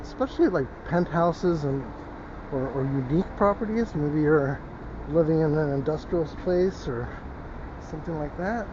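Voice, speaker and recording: A man's voice: fairly high pitch, moderate pace. A man narrating a casual walking tour outdoors, rambling and thinking aloud with a few restarts. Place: outdoors on a city street. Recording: dull lo-fi street recording with traffic noise. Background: street traffic.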